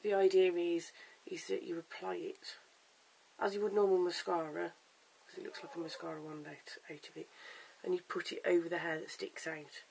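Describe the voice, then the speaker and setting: A hoarse, croaky-voiced vlogger giving a casual at-home wig tutorial in a chatty, stop-start way, filmed on a camera's own mic. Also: mid-range voice, little pitch movement, moderate pace, a little mellow, low-quality recording. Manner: chatty, stop-start with fillers and self-corrections. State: hoarse, lost voice. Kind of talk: casual how-to demonstration.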